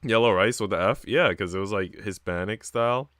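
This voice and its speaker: A man's voice: lowish voice, brisk, a bit bright, dry recording, studio quality. A man livestreaming in casual chat, talking in a slangy, profane, bro-ish way. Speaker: streamer. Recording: music behind the voice.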